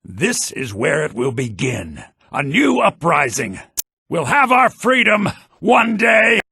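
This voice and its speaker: An actor playing a bitter, vengeful rebel leader from a prison mine in a fantasy video game, raspy and menacing, declaiming his lines. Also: a little high, huge pitch swings, takes it slowly, dry recording, exaggerated voice. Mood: triumphant. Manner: menacing, declamatory, swinging to shouts.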